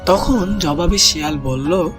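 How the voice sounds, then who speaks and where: A man narrating a children's cartoon story in a gentle storybook manner, speaking to young listeners as friends. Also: on the high side, slow. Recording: voice-over with loud background music.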